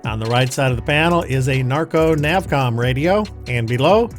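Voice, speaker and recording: A man narrates as a pilot in a scripted, explanatory style. His delivery is measured and instructional, at an average pitch, with music clearly underneath. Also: lively intonation. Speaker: pilot and narrator of own flight video. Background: music behind the voice.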